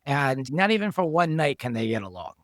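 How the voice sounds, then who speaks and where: A man's voice: medium pitch, sing-song, fairly quick, dry recording, studio quality. A man talking as a podcast guest and show creator, explaining things conversationally and thinking aloud as he goes. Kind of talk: conversational behind-the-scenes discussion.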